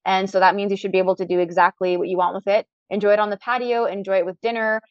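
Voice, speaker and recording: Fast-talking woman scientist guest. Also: medium pitch, little pitch movement, no echo, muffled recording. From Trinidad, raised in Canada. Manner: chatty, lots of "like" fillers. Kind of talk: casual podcast interview.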